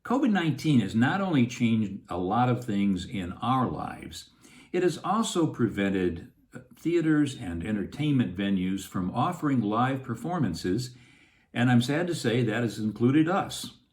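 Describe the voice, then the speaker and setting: A man's voice: mid-range voice, very animated, a little slow, darkish tone. A man, an actor giving a scripted introduction to a program.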